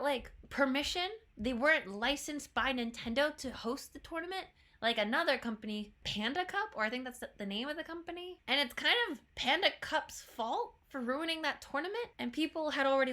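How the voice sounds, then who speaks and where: A woman's voice: high voice, bright, forceful tone. A young woman with a stuffy nose from a cold, chatting bluntly as a YouTuber reacting to a trailer. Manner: chatty, blunt, swears casually. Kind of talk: casual chat.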